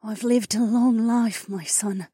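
A man voicing a frail, ancient female dragon, a dying and tender mother, in a weak, breathy, murmuring voice for an audiobook. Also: sits high, steady tone, a little slow, warm tone, no room sound, studio quality, voice pushed up. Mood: grave, weary. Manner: weary, murmuring.

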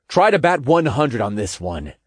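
A man voicing a brash, foul-mouthed male hero who leads battles in a fantasy audiobook, gruff and commanding. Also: mid-range voice, big pitch swings, moderate pace, no echo, clean studio sound. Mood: wry.

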